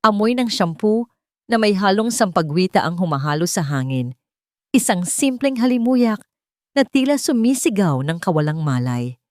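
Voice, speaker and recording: A woman narrating a scripted romantic drama in an earnest, sentimental way with dramatic pauses. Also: mid-range voice, very animated, darkish tone, no room sound, clean studio sound. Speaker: narrator of romantic audio stories.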